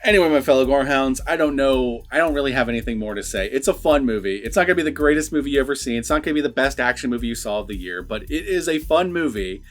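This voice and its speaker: A man hosts a casual film review vlog. His pitch moves around a lot as he chats in an informal, enthusiastic way. Faint music plays underneath. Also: lively intonation, quick pace, few pauses. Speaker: host of a horror movie review vlog.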